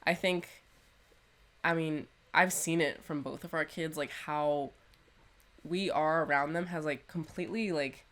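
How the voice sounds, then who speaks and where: A woman's voice: sits low, fairly even intonation, unhurried, fairly bright tone. A young woman co-hosting a casual podcast, chatting loosely with plenty of "like" and swearing. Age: young adult. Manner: casual, swears freely, lots of "like". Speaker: podcast co-host. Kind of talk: casual chat.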